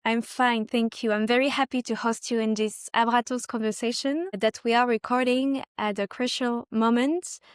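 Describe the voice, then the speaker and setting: A woman's voice: fairly high pitch, steady tone, normal speed, few pauses, clean tone, dry recording, clean studio sound. A woman hosting a podcast interview, speaking formally and politely with carefully phrased introductions and questions. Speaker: podcast host.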